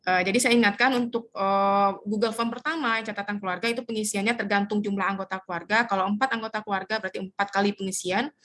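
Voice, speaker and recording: A woman explaining step by step how to fill in a community household form, pausing often to search for words. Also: mid-range voice, fairly even intonation, a bit bright. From Indonesia. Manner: hesitant, searches for words with many fillers. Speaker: community trainer.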